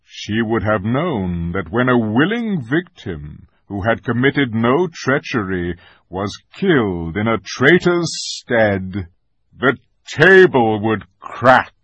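A man's voice: lowish voice, a bit bright, husky, no room sound, lo-fi sound, heavily acted. A man voicing a grave, majestic lion. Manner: slow, solemn delivery.

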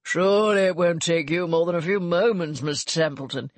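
A woman voicing a smooth-talking, flattering Regency gentleman, one of the figures in a read romance story. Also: lowish voice, normal speed, dry recording, close to an everyday voice. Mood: suave. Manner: suave, fulsome compliments.

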